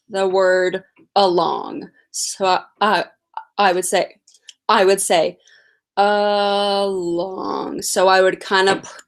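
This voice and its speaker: A nineteen-year-old woman who stutters, chatting slowly and casually on a livestream as she answers viewer questions. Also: lowish voice. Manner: stutters with repeated sounds and blocks. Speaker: livestream host.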